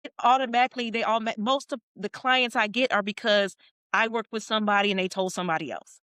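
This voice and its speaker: A middle-aged woman talks as a podcast guest and leadership coach. Her pitch sits at an ordinary middle height, and she leans on her words with earnest emphasis, coming back to points she has already made. The recording is dry and close, with no room sound. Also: fairly even intonation, quick pace, a bit bright, smooth, dry recording. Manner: earnest, emphatic, with repeated phrases. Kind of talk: podcast interview.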